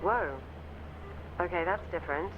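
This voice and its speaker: A woman's voice: lowish voice, a little slow, lo-fi sound, lightly acted. Actress doing wary station lady in a video game, understated short lines, noisy background. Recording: clear noise behind the voice.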